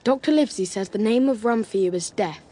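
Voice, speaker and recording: A woman's voice: medium pitch, fast talker, warm tone, natural voice. A woman playing a polite, anxious young boy, earnest and respectful, in a radio drama. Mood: gentle, earnest.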